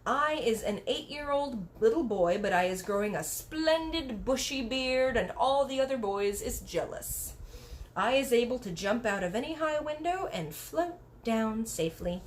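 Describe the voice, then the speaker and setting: An excitable little boy from a storybook, acted by a woman. He speaks in childlike broken grammar, exclaiming in a high voice that swings widely. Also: moderate pace, a little hiss or hum, natural voice. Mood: proud. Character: excitable little boy telling fanciful dreams. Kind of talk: storybook read-aloud character voice.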